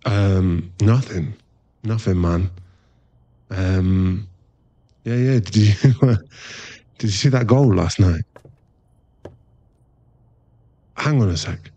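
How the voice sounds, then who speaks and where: A man's voice: low-pitched, slow pace, pauses often, mellow, dry recording, lo-fi sound. A man playing a playful, affectionate, laddish werewolf boyfriend in a casual, teasing ASMR roleplay. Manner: casual, laddish, teasing, drops into murmured asides.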